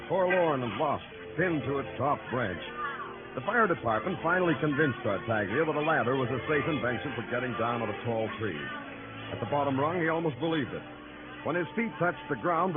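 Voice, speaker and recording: An actor playing a hard-boiled police lieutenant who narrates an old radio drama, dry and sardonic. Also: male voice, fairly high pitch, natural voice. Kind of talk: 1940s radio acting. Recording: tinny old radio with music.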